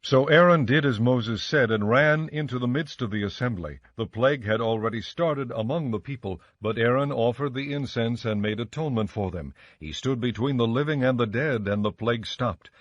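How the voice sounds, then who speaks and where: A man narrating a dramatized Bible audiobook in a measured, solemn storytelling style. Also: medium pitch, muffled recording. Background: faint music.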